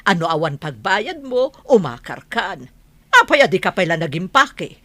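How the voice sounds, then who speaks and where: A woman's voice: lowish voice, very animated, normal speed, a bit bright, no echo. A woman with an Ilocano Filipino accent acting a stern, scolding older village woman in a radio drama. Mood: stern, demanding.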